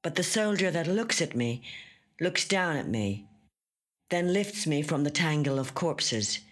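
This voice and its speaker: Slow, measured woman reading a story. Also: deep voice, animated. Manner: measured, deliberate, repeating phrases for weight. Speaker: actor reading a short story. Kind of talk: dramatic literary story narration. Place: recording studio.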